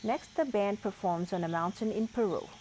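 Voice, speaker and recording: A woman's voice: mid-range voice, normal speed, a little mellow, slight background noise, rough recording. A woman reading a children's picture book aloud as a storyteller.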